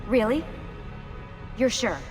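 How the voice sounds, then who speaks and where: A woman playing a calm, protective young agent in a video game, speaking in a composed, reassuring way. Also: on the high side, takes it slowly. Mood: sincere. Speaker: actor voicing a game character. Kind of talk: naturalistic video game cutscene acting. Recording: game dialogue with reverb under music.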